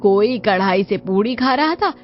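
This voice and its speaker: A woman's voice: medium pitch, expressive, a little slow, natural voice. A stern middle-aged mother-in-law as acted by a woman in a dramatized family story. She scolds with sarcasm and scorn. The sound is slightly dull, with music behind her. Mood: scolding.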